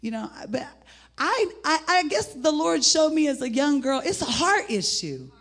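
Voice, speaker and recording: A middle-aged woman with an American accent and a husky, gravelly voice, preaching an informal, rambling sermon in an animated, folksy way. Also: high-pitched, moderate pace. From United States (Indianapolis, Indiana). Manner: animated, rambling, folksy, breaking into laughter and exclamations. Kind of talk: informal sermon with personal testimony.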